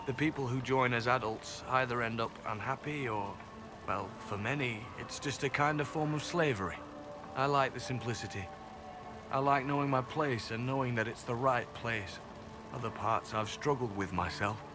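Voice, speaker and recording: A man's voice: mid-range voice, moderate pace, over music, lightly acted. A man playing a guarded elf spy and former slave in a video game, speaking dryly and earnestly. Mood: earnest, reflective. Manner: dry, matter-of-fact, quietly earnest. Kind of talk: video game cutscene acting. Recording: game capture with loud ambient background noise.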